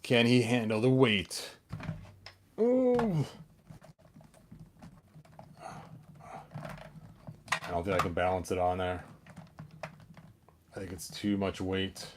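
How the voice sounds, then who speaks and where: A man with a somewhat low voice talks at a very unhurried pace. He sounds like a casual hobby host, playful and half muttering to himself. Light background noise sits behind the voice. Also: lively intonation. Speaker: toy collector hosting a story-time video. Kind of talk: casual chat while handling action figures.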